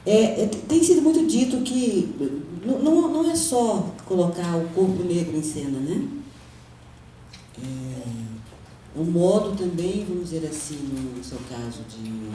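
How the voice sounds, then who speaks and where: A woman's voice: fairly low pitch, expressive, a little slow, mellow, slight background noise. A woman moderating a panel talk, asking long questions with hesitant repeats and frequent fillers. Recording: echoey room, distant mic.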